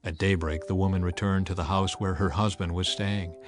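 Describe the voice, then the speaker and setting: A man narrating a dramatized scripture audiobook with measured, dramatic storytelling and emphatic swells. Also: deep voice, fairly even intonation. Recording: music bed under the voice.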